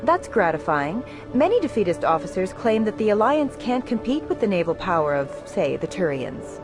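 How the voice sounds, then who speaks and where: An actress plays a pushy television reporter in a sci-fi game. She questions in a polished, needling way at a medium pitch, with clear music behind. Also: big pitch swings, normal speed, close to an everyday voice. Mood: probing. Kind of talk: video game acting as news interview.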